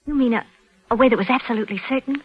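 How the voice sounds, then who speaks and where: Husky, high-pitched sly moll. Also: female voice, very fast. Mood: sly, tempted. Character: sly, unscrupulous female bank worker, the schemer's sweetheart. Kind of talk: 1940s American radio crime drama acting. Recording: dull vintage 1940s radio recording.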